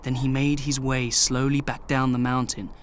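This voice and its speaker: A man's voice: mid-range voice, level tone, slight background noise. Man narrating a children's radio story. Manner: clear, measured storytelling.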